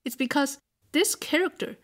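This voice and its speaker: A woman's voice: high-pitched, moderate pace, fairly bright tone. A middle-aged woman whose first language is Mandarin, patiently explaining Chinese words and tones as a guest teacher.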